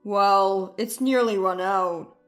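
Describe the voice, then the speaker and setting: A woman's voice: medium pitch, slow, faint music behind, close to an everyday voice. A woman voicing a needy, wheedling ex-husband in a dramatized story, pleading and plaintive. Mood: desperate.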